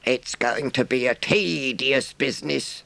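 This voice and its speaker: A man voicing a solemn, stern but kindly elderly badger who makes slow, pompous speeches in a storybook reading. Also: medium pitch, vocal fry, pushed and bright, dry recording, pitched above the performer's own voice. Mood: resigned.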